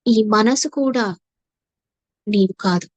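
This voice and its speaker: A woman leading a guided meditation as a teacher, soothing and unhurried, with long pauses and repeated phrases. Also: fairly high pitch, no room sound, muffled recording. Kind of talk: guided meditation, slow instructive narration.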